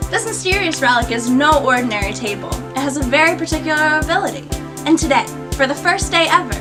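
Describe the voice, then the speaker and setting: An actress playing a mysterious female guardian of a magical relic, giving a theatrical, enigmatic address in a drama. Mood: inviting, mysterious. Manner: theatrical, enigmatic, deliberate sales-pitch address. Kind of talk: scripted dramatic monologue.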